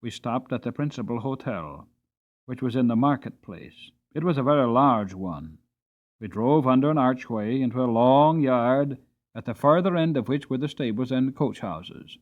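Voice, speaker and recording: A man narrating an audiobook in a dramatic storytelling style, with expressive, theatrical emphasis. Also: medium pitch, normal speed, phone-quality sound.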